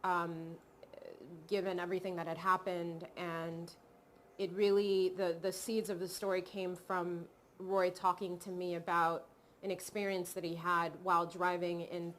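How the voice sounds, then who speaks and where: A woman from Cameroon, a film director, talking reflectively in an interview with many pauses and restarts. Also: fairly low pitch, level tone, fairly bright tone, slight background noise. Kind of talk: video call interview.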